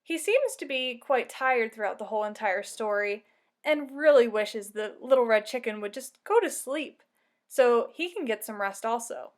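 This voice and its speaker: A woman's voice: a little high, expressive, normal speed. A woman narrating a children's read-aloud like a teacher, giving instructions and asking questions.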